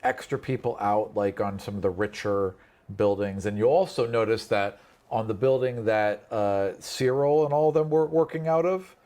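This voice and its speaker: A man's voice: on the low side, moderate pace, a little mellow. A man acting as game master, narrating and explaining in a conversational way, often repeating and rephrasing as he goes. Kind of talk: improvised tabletop game narration and table talk.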